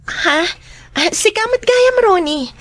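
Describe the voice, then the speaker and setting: A woman acting a lonely, modest young office worker who speaks softly and shyly in a radio drama. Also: very high-pitched, animated, slow, no echo, full character voice. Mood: gentle.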